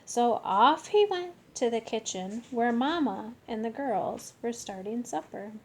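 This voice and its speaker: A woman reading a children's picture book aloud expressively, deliberately stressing key words. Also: on the high side, lively intonation, a little hiss or hum. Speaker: story reader.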